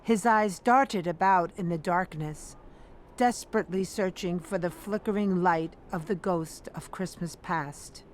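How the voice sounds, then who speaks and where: Slow, low-voiced female storybook narrator. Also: slight background noise. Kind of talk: scripted storybook narration for audio drama.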